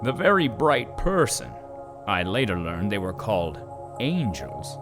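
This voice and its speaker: A man voicing an animated, bemused storytelling donkey. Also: on the low side, a little slow, over music. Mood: amazed, startled. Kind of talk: children's audio drama narration.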